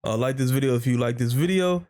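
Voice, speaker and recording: A man's voice: mid-range voice, fast, a little mellow. A man hosting a reaction video, chatting casually and informally.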